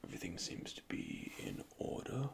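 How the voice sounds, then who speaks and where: A man whispering softly and methodically as he plays a repair technician in an ASMR roleplay, recorded close to the microphone. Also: moderate pace, noisy background. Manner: soft, calm, methodical, speaks in technical jargon. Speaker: ASMR creator playing an android repair technician.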